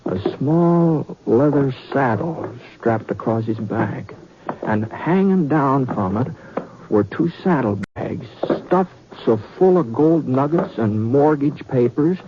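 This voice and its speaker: Folksy western radio storyteller. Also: male voice, medium pitch, expressive, noisy background. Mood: hushed wonder. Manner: folksy, unhurried, dramatic pauses. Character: folksy western yarn-spinner narrating a ghost tale. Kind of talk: old-time radio storytelling narration. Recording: dull vintage radio recording.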